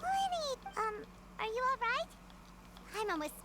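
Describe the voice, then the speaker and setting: Squeaky tiny fairy companion. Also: female voice, very animated, measured pace, heavy background noise, heavily acted. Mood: concerned. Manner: chirpy, childlike. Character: tiny floating childlike fairy companion, squeaky, eager, speaks in third person. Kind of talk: anime game voice acting. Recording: game audio with background music.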